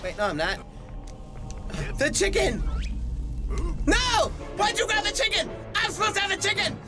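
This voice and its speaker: A young man with a high, very animated voice hosts a casual let's play. He is excitable and quick to poke fun at himself, speaking over clearly audible game music. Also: over a noisy crowd. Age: young adult. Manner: excitable, self-deprecating, often exclaiming in play. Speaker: voice actor and gaming video host. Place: small indoor room. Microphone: desk microphone close by, with headset worn.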